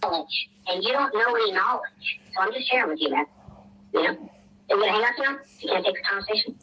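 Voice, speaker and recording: Bright, earnest lecturing woman. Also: medium pitch, lively intonation, a little fast, breathy edge, poor audio. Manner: earnest, pedantic explaining. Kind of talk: lecturing monologue.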